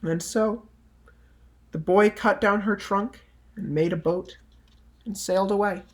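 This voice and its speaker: A man narrating a children's picture book aloud as a storyteller, measured and unhurried with soft line endings. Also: high-pitched, steady tone. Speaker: storyteller reading aloud for a library.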